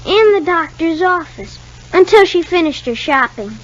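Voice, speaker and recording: Radio soap: small boy, high voice, polite, downcast, reticent; dull vintage recording. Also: expressive, normal speed. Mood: guarded, innocent. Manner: reticent, short hesitant answers. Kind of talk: 1950s radio soap acting.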